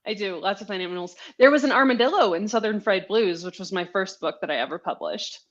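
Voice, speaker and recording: A woman author talks casually as a guest in an interview. She is self-deprecating and quick to joke, with a mid-pitched voice. The audio is a little dull, like a video call. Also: lively intonation, fairly bright tone.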